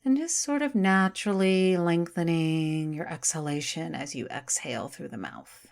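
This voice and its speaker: A woman teaching yoga nidra, guiding a relaxation meditation in a soft, soothing way with long pauses. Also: sits low, expressive, vocal fry.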